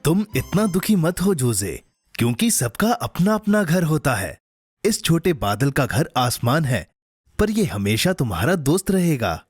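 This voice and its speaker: A man voicing a gentle, reassuring friend of a child in a children's cartoon dub, kindly and encouraging. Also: a little high, very animated, unhurried, soft background music. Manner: kindly, encouraging, explaining simply.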